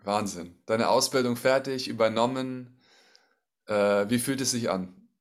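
A man's voice: mid-range voice, measured pace. A man leading a casual interview, asking encouraging questions and often ending his sentences with a tag question.

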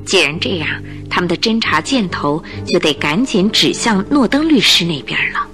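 A woman narrating a radio drama in Chinese, in an expressive, scripted storytelling style. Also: fairly low pitch, moderate pace, soft background music.

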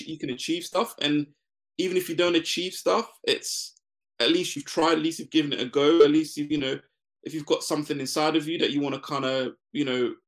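A man in his forties from Ghana, a business founder talking as a guest in a casual interview, relaxed, laughing often and using many fillers. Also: fairly high pitch.

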